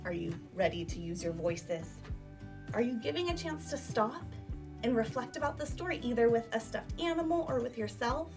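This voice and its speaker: High-pitched woman teacher, distant mic over music. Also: normal speed. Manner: emphatic, animated teacher delivery with rhetorical questions. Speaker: elementary school teacher. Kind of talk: instructional talk to children. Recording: distant microphone, music behind.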